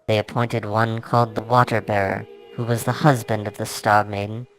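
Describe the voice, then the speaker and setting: A man reading his own fantasy novel aloud as a dramatic, solemn, measured narration. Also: lowish voice, little pitch movement, rough recording. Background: music soundtrack.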